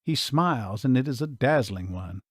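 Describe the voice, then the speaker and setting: A male audiobook narrator reads a first-person novel at a slow, measured pace. His voice sits at an ordinary middle pitch. The sound is dry and close, with no room echo. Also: lively intonation, slightly rough.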